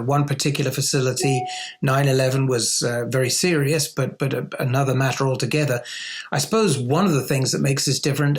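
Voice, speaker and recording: A man hosting an interview, questioning and recapping conversationally, often repeating words as he hesitates. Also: medium pitch. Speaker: interviewer, host.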